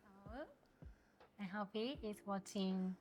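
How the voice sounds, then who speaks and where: A woman in her twenties from Japan, with a Japanese accent, speaking English as a second language in a casual interview and pausing often to search for words. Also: mid-range voice, level tone, darkish tone, slight background noise. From Osaka, Japan. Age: 20s. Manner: hesitant, searching for words, many fillers. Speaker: guest.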